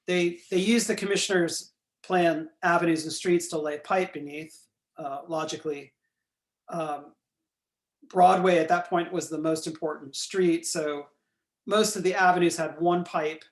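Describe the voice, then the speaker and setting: A man giving a recorded lecture while pointing out features on a map. He is losing his voice, keeps clearing his throat and apologizes for it. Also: a little high.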